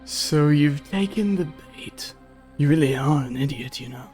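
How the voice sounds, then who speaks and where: A streamer voicing a mocking, sinister male digital villain who talks in slow taunts and drops to whispers. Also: medium pitch, darkish tone, slightly rough, soft background music. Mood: taunting. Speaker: streamer voicing a visual novel.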